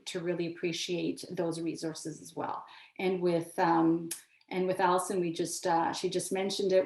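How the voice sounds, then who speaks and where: Woman, lowish flat voice, steady, lots of fillers, echoey room. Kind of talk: panel discussion. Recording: roomy echo.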